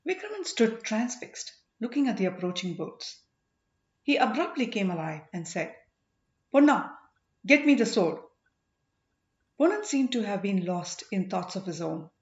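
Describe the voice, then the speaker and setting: A woman narrates a dramatic audiobook story. Her pitch is animated and varied, and the recording sounds roomy and slightly dull. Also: moderate pace.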